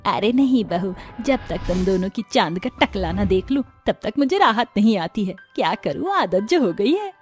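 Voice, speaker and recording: A woman voicing a sharp-tongued older mother-in-law who scolds and taunts. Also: medium pitch, sing-song, normal speed, few pauses, faint music behind, close to an everyday voice. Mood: teasing.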